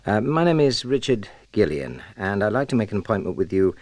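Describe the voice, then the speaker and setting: A man's voice: fairly low pitch, big pitch swings, fairly quick, noisy background. An actor playing a reserved British publishing man, polite and a little hesitant, in a naturalistic radio drama. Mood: polite urgency.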